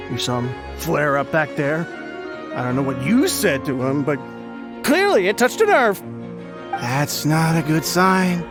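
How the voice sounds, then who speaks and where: A jittery tipsy man. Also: high-pitched. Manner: rambling, hushed and jumpy, stammers. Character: tipsy, nervous middle-aged man, jittery and fearful. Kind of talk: video game dialogue.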